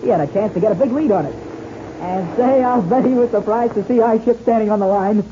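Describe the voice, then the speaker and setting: An actor playing an eager, boyish young American pilot in an old radio serial, earnest and enthusiastic, with an American accent. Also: male voice, high-pitched, brisk, natural voice. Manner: earnest, gee-whiz enthusiasm. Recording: noisy, dull old radio recording.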